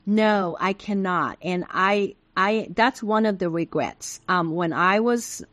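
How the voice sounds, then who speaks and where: A woman's voice: medium pitch, slow, fairly bright tone, dry recording. A middle-aged woman from Taiwan whose first language is Taiwanese Hokkien, patiently explaining things as an interview guest. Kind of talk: interview answers.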